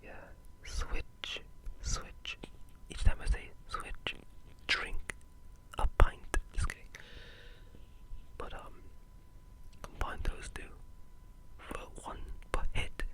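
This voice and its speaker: A gaming creator speaking in a breathy, unvoiced whisper, giving rambling but enthusiastic ASMR-style game commentary. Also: takes it slowly.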